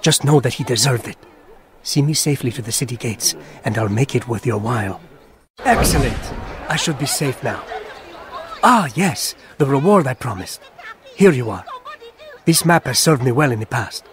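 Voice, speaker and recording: Game bit part , male actor: shifty man seeking protection, ingratiating, average pitch, slight background noise. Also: lightly acted. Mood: relieved, grateful. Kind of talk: dramatic video game acting.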